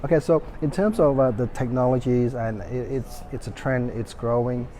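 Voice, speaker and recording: A man hosting an event interview, eager and halting, with frequent restarts and fillers. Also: medium pitch, warm tone, a little hiss or hum.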